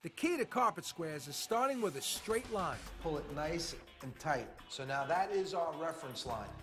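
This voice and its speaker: A man hosting a home renovation show, with brisk, punchy, salesy narration and chat. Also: on the high side, expressive, fairly bright tone, faint music behind. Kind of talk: upbeat TV host narration and chat.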